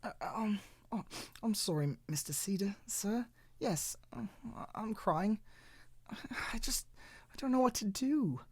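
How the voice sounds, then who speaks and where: A man voicing a high, stammering little cloud. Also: animated, unhurried, husky, no room sound, exaggerated voice. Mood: anxious. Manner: stammering, breathless worry. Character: timid little male cloud, anxious and tearful.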